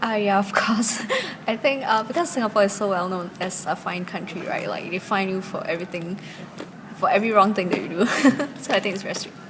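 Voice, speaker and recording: A woman's voice: medium pitch, fairly quick. A woman tourist giving casual, unscripted answers in a street interview. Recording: noticeable background noise.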